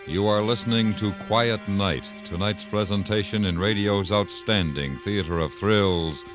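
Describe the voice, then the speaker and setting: A man's voice: fairly low pitch, measured pace. An American man announcing a 1950s radio thriller in a formal, dramatic, scripted manner. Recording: dull vintage radio recording, music behind.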